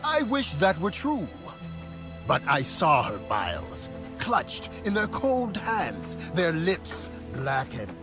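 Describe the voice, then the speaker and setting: An actor voicing a stern, protective alien advisor in a video game cutscene, commanding and emphatic. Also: male voice, a little high, huge pitch swings, slow, over music, full character voice. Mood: accusing, grieving. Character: stern, protective, self-justifying male Na'vi advisor.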